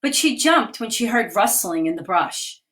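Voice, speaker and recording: A woman, a children's author, reading her picture book aloud in a livestream with clear, expressive delivery and chatty asides. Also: mid-range voice, moderate pace. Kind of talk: children's storytime read-aloud. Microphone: webcam or phone for a livestream.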